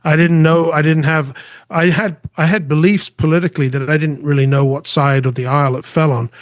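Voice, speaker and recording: A man's voice: a little high, fairly even intonation, dry recording, clean studio sound. A middle-aged man from England who grew up in Spain, a guest answering interview questions earnestly, often restarting his sentences. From England, raised in Spain. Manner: earnest, halting, with frequent restarts. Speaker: artist and guest.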